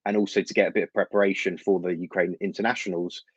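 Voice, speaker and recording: A young London man with a London accent, a football journalist explaining things in a measured way with fillers and soft laughs, speaking as a guest over a video call. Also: deep voice, level tone, breathy edge, no room sound, dull audio. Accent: London English. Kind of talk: casual interview chat.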